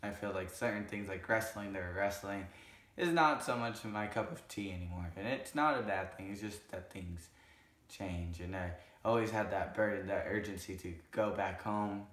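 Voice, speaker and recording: Young man in his twenties, hoarse, very low voice, earnest rambling vlog talk in a roomy living room. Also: normal speed, fairly bright tone. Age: 20s. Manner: earnest, rambling with self-corrections. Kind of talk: casual vlog recap. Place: living room couch, indoors.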